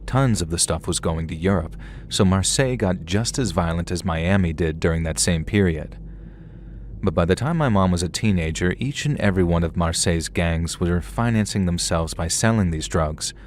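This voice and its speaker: A man's voice: sits low, normal speed, a little hiss or hum. A man narrating a first-person horror story in a conversational storytelling manner, with casual asides to the listener.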